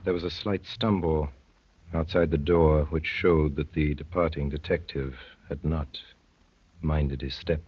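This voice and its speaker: An actor playing an intense undercover detective, measured and theatrical, with a husky voice, in 1930s radio drama style. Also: male voice, a bass voice, little pitch movement, heavily acted. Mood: tense narration. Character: intense young undercover detective, narrating his own adventure. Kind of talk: 1930s radio acting, dramatic first-person narration. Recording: dull, muffled old 1930s radio recording.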